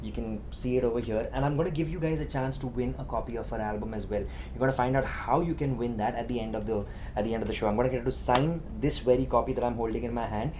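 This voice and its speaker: A woman's voice: a bass voice, quick pace, noisy background. A woman hosting a talk show who interviews a guest eagerly, leading with questions and many fillers.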